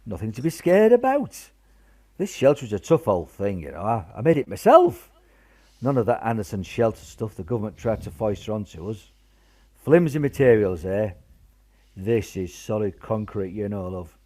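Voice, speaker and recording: A man in a radio play acting a gruff, cheery old grandfather, blustery and reassuring. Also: on the low side, very animated, normal speed, dark tone. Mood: proud, reassuring. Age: older. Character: gruff, cheery old grandfather, proud wartime shelter builder. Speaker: amateur radio play actor.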